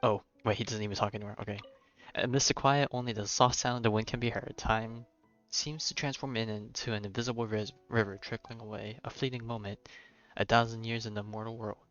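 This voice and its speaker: A man's voice: lowish voice, fairly even intonation, fairly quick, a bit bright, muffled recording, faint music behind. A man livestreaming a game with casual, informal commentary, thinking aloud and reading on-screen text.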